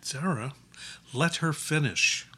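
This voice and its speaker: Bright-voiced, diplomatic priest. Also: male voice, a little high, husky, exaggerated voice. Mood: gently chiding. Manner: gentle reproofs, euphemistic, measured wording. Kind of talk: naturalistic audio drama acting.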